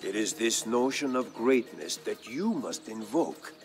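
A male storyteller delivers scripted narration with grandeur and gravity. His medium-pitched voice rises and falls widely for dramatic weight, with deliberate phrasing, over a bed of music. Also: animated.

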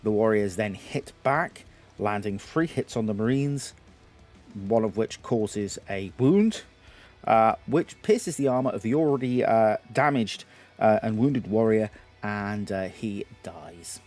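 A man in his thirties with a Geordie accent, narrating a tabletop battle report at home, slightly hoarse, rambling with lots of fillers. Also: lowish voice, lively intonation, slow pace, a little mellow, faint music behind. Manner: rambling, self-deprecating, lots of filler words. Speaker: YouTube host. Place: home games room.

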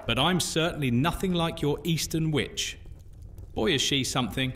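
Man acting a higher-voiced, sardonic half-witch fellow. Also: moderate pace, a bit bright, natural voice. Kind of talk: fantasy audio drama roleplay. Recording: slightly distant, faint noise.

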